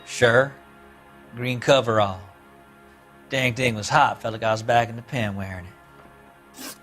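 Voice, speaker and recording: An actor playing a sly, unrepentant drifter and ex-convict in a video game, with a rural American accent and an evasive, folksy, drawling way of talking. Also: male voice, mid-range voice, fairly even intonation, slow pace, fairly bright tone, faint music behind. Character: sly unrepentant small ex-convict drifter suspect, farm boy. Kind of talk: video game interrogation acting.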